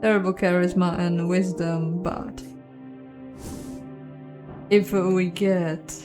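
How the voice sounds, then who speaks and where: An unhurried woman hosts a game playthrough in a playful, chatty way. She takes her time, thinking aloud over faint game music. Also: on the low side, level tone. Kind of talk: casual let's play commentary mixed with reading game dialogue aloud.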